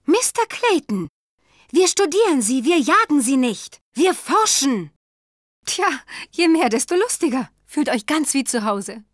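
An actress playing a spirited, polite young woman researcher in a video game, with expressive, emphatic delivery. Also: high-pitched, unhurried, no echo, clean studio sound. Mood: welcoming. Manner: expressive, emphatic, well-mannered. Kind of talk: animated video game voice acting, dubbed in German.

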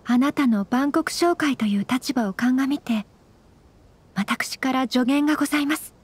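An actress playing a dignified young noblewoman in a Japanese game dub, formal, courteous and composed, gentle but firm. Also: high-pitched, a little slow, breathy edge. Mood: calm, earnest. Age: young adult.

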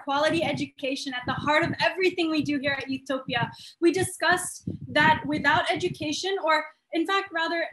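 A woman's voice: high voice, background noise. A nineteen-year-old woman hosting a youth group video call, chatty and upbeat, laughing often. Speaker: host, youth group founder. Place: small room indoors. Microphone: laptop webcam, video call.

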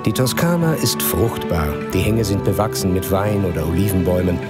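A man giving calm, measured scripted narration for a documentary voice-over. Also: sits low. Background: music under the narration.

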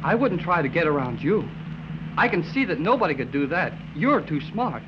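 A man's voice: a little high, sing-song, moderate pace, lo-fi sound, noisy background. An actor playing an eager, earnest, polite teenage boy in an old 1930s radio drama. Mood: wheedling. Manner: earnest, boyish, folksy exclamations.

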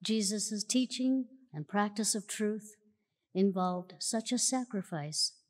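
A woman reading scripture aloud at a church lectern in a measured, solemn way, recorded in a large church auditorium. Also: mid-range voice. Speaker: church reader. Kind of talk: slow formal scripture reading. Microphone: lectern mic from a far camera. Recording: long church hall reverb.

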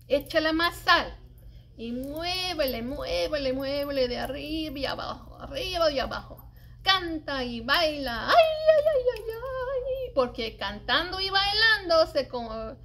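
A middle-aged woman reading a children's poem aloud in an expressive, singsong, deliberate way, as a story-time librarian. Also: high-pitched. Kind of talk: animated read-aloud of a children's cooking poem. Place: indoors, public library children's area.

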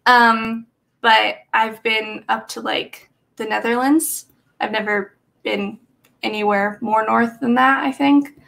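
Young woman, higher voice, vocal fry, casual rambling chat, many fillers, small bedroom webcam. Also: steady tone, slow, a bit bright. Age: young adult. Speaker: livestream host. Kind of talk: casual chat with live chat readers. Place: small bedroom with bookshelf, indoors.

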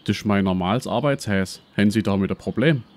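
Man playing a deep Swabian professor. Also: fast talker, a little mellow, natural voice. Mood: self-important. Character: brash, shady male history professor and treasure hunter. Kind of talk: parody detective radio play.

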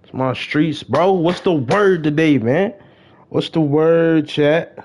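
A man's voice: on the high side, slow pace, a little mellow. A young American man chatting casually on a gaming livestream, slangy and repeating his greetings to viewers. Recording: slightly dull, lo-fi stream mic.

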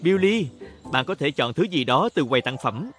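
A man's voice: a little high, expressive, normal speed. A man narrating a children's cartoon in speech, talking to the characters like a storyteller for young children. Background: music.

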